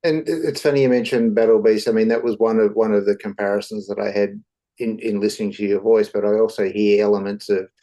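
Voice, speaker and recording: Low, mellow interviewer. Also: male voice, level tone, fast talker. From Australia. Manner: warm, rambling questions. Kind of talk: casual interview.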